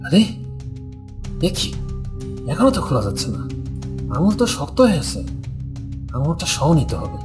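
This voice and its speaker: An actor playing a greedy, callous, lecherous villager and killer in a horror cartoon, exclaiming and muttering theatrically. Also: male voice, a little high, big pitch swings, a little slow, pauses often, over music. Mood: frustrated, threatening.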